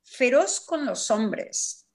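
A woman psychoanalyst speaking reflectively and personally on a panel, halting and repeating phrases for emphasis. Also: fairly high pitch, big pitch swings, a little mellow.

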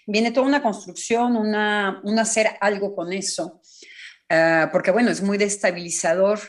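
A woman psychoanalyst speaking reflectively and personally on a panel, halting and repeating phrases for emphasis. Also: mid-range voice.

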